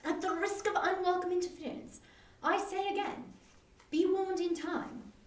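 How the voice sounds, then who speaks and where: A woman voicing an earnest, cautious Victorian gentleman, a worried friend giving urgent advice, in a story reading. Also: very high-pitched, steady tone, unhurried, pitched above the performer's own voice. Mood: earnest warning. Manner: urgent, old-fashioned advising. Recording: roomy, distant-mic live online reading.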